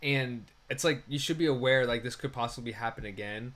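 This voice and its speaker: A man's voice: mid-range voice, brisk, a bit bright. A man co-hosting a film review podcast in casual, slangy talk with some swearing.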